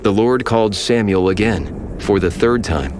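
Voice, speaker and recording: A man narrating scripture in a steady, measured storytelling voice for a dramatized audio Bible. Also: lowish voice, background noise.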